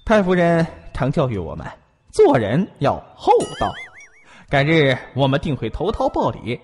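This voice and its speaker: An actor playing a sardonic young court physician in an audio drama, with oily false cheer in a reverberant space. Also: male voice, a little high, wildly swooping pitch, normal speed, husky, exaggerated voice. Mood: mock-grateful. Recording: reverberant, with music behind.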